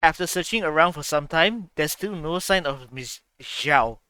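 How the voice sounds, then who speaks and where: A man's voice: on the high side, animated, normal speed, bright, forceful tone, clear, resonant tone, dry recording. A man reacting to a video game story, trash-talking the characters and reading narration aloud.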